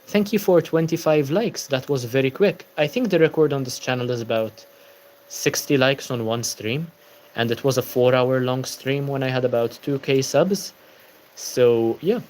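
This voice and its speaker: A man's voice: medium pitch, normal speed, a little mellow, slight background noise, low-quality recording. A tired young man hosting a casual book-channel livestream, chatting and answering viewer comments.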